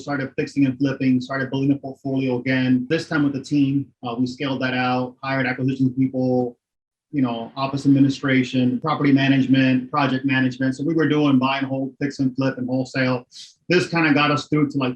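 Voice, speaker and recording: A man's voice: mid-range voice, level tone, brisk. Matter-of-fact middle-aged man, dull audio. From Miami, Florida. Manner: candid, matter-of-fact, repeats "right?". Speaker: guest, real estate investor. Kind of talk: casual interview talk.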